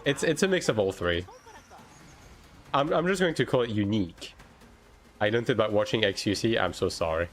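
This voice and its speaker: A man's voice: on the low side, lively intonation, normal speed, long pauses, soft background music. A man in his thirties from France, speaking English with a French accent in a casual chat with his live-stream viewers, often swearing and restarting his sentences. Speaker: game streamer.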